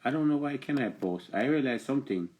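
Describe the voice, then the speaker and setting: A man's voice: mid-range voice, quick pace, muffled recording. Jamaican man, forties, stuffy nose, chatty live-stream host, phone mic in a small room. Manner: chatty, repetitive, animated when addressing viewers. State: stuffy from allergies. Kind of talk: casual live-stream talk. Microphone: phone camera close up.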